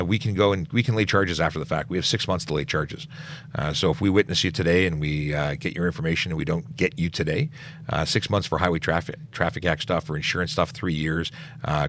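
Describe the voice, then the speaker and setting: A man's voice: deep voice, fairly even intonation, quick pace, a bit bright. A middle-aged man, a police officer, answering viewer questions on a casual livestream, stopping to restart his sentences and filling gaps with "uh" as he goes.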